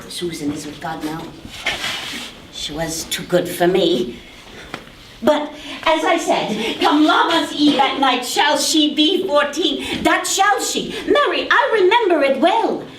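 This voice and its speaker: A woman's voice: fairly high pitch, slight background noise. A woman playing a talkative old nursemaid who rambles and chuckles through her memories, in stage verse. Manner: rambling, chuckling, swinging between tender and loud. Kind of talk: stage acting of verse drama. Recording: echoey room from a distance.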